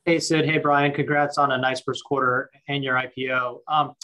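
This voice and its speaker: A man asking informal questions as an analyst on an earnings call, in a halting way that repeats words. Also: medium pitch, flat delivery, pushed and bright.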